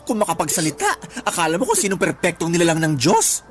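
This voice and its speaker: A woman's voice: on the low side, wildly swooping pitch, quick pace. An actress playing a sassy, blunt female best friend in a radio drama, colloquial and outspoken. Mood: scornful, blunt.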